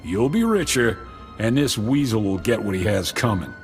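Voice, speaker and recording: An actor playing a hard-nosed, slangy street gang leader in a video game cutscene. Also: male voice, fairly low pitch, sing-song, moderate pace, faint music behind. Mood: bargaining. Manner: tough, slangy.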